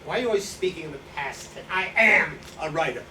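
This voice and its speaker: A man's voice: on the high side, lively intonation, pushed and bright. Man acting boastful old writer, swooping voice, echoey. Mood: defensive, boastful. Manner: rambling, halting, self-justifying. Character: boastful, defensive, hard-drinking old male writer, bedridden. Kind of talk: staged play reading. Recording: distant echoey room, noisy draft recording.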